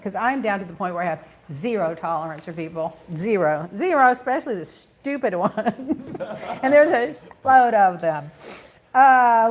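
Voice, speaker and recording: A middle-aged woman hosting a talk show, chatting casually about gossip and news with blunt opinions and quick asides. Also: medium pitch, a little hiss or hum.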